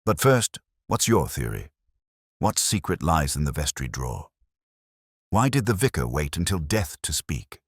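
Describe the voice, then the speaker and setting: An extremely low-pitched man narrates a mystery audiobook. His delivery is measured and dramatic, with deliberate phrasing and a lively rise and fall. The sound is close and dry. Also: husky, dry recording. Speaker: story narrator.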